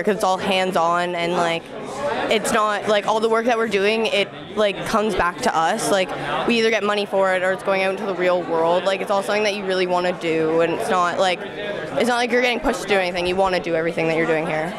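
A young adult woman giving a casual interview in informal, youthful speech peppered with "like". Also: fairly low pitch. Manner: heavy use of "like", informal youthful talk. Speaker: student alumni interviewee. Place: busy indoor school event room. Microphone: handheld interview microphone. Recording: busy crowd chatter behind.

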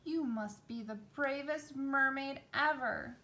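A woman's voice: high voice, slow pace, bright, forceful tone, pitched above the performer's own voice, heavily acted. A woman voicing a shy young mermaid in a storybook, timid and easily amazed. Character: shy, wide-eyed young mermaid girl.

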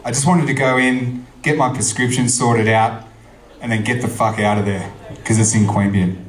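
An Australian man in his thirties doing stand-up, crude and deadpan, in a middle-pitched voice. He speaks into a handheld mic on a club stage, with a roomy echo behind him. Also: moderate pace. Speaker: stand-up comedian. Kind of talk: stand-up comedy set. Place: comedy club stage, indoors.

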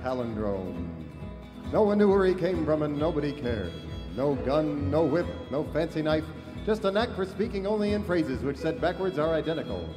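Man, dramatic trailer announcer, lively pitch, reverberant stage, guitar music. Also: moderate pace. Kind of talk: mock movie-trailer announcing.

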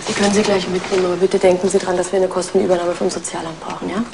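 Schroffe Krankenschwester. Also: female voice, lowish voice, little pitch movement, creaky. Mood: businesslike. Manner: brisk and impatient. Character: brusque, busy female hospital nurse. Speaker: nurse in a TV crime drama. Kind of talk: TV drama acting. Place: hospital treatment room. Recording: drama scene with loud room noise.

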